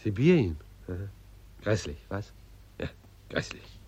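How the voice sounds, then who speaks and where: An actor playing a smug middle-aged theatre director in a radio play, declamatory and condescending. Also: male voice, on the low side, sing-song, unhurried, darkish tone, breathy edge, exaggerated voice. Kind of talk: post-war German radio play acting.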